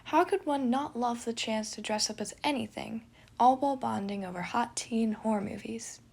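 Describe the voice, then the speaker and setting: Casting: female storyteller for scripted fiction narration, expressive, with a creaky, husky voice. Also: on the high side, normal speed.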